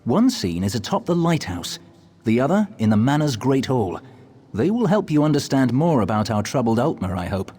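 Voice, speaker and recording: An actor playing a wise, earnest storyteller guide in a fantasy video game, measured and solemn. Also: male voice, medium pitch, sing-song, warm tone, slightly rough, faint music behind. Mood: grave, concerned.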